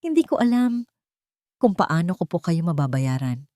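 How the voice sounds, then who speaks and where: A shy young maid from a romance audio story , acted by a woman narrator. She is humble, polite and earnestly grateful, her pitch lifting and moving in a lively way. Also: moderate pace, no echo, studio quality.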